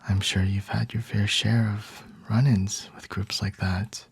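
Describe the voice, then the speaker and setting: A man playing a smug, flirty vampire in a whispered ASMR roleplay, teasing and condescending with a breathy, husky delivery. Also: lowish voice, flat delivery, unhurried, dry recording, full character voice. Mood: aloof, explaining. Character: flirty, smug male vampire toying with a captured hunter.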